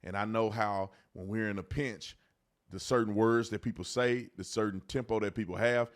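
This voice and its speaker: A man's voice: lowish voice, steady tone, moderate pace. An American man hosting an informal, emphatic commentary, repeating phrases to drive his points home. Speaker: host commentator, former police officer.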